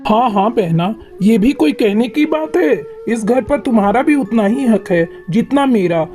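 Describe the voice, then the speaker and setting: A woman's voice: on the high side, expressive, unhurried, warm tone, faint music behind. A woman voicing a meek, kindly henpecked brother crow in a cartoon moral story, with a placating manner. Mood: welcoming.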